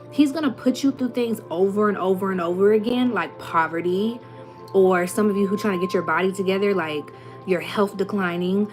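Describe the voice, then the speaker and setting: A young woman giving a casual, emphatic motivational talk to her viewers, full of slang and little acted-out voices, with soft music playing underneath. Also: medium pitch. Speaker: spiritual content creator.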